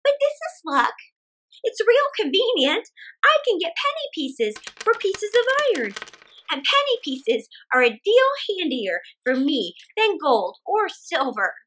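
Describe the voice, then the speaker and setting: Woman doing an excitable, very high old country woman. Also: measured pace, exaggerated voice. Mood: surprised, cheerful. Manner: gleeful, exclaiming, giggly. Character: cheerful, excitable poor old country woman, ever optimistic. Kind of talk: children's storybook character voice.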